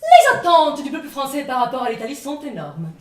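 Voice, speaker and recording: High-pitched Italian-accented woman on stage. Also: a little fast. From northern Italy. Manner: dramatic, expressive delivery. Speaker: stage actress performing a one-woman show. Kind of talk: theatrical monologue, comic first-person storytelling. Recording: echoey room, distant microphone.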